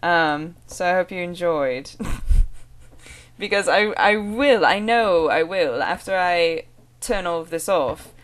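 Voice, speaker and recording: A woman from the American Northwest speaking in a put-on British accent, chatting casually as a vlogger in a rambling, halting, amused way. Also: fairly low pitch, lively intonation. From American Northwest (Oregon). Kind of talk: casual chat to camera with read-out one-liners.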